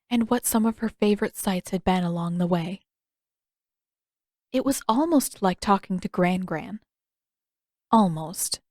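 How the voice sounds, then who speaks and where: A woman's voice: mid-range voice, darkish tone, no room sound, studio quality. A woman reading fiction as a scripted audiobook narrator.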